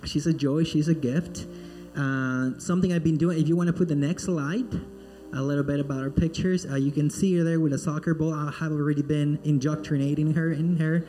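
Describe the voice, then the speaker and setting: A man's voice: a little high, steady tone, a little fast, warm tone, faint music behind. A man from Peru, a missionary speaking in church in a friendly way and leading prayer. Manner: friendly and joking, then earnest in prayer. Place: church sanctuary.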